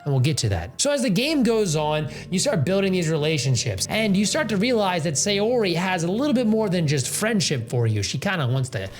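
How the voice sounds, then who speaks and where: A young man reviews a video game in a loose, slangy, rambling style. His pitch swings around freely for playful drama, with faint music underneath. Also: sing-song, a little fast, few pauses. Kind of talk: casual video essay commentary on a game.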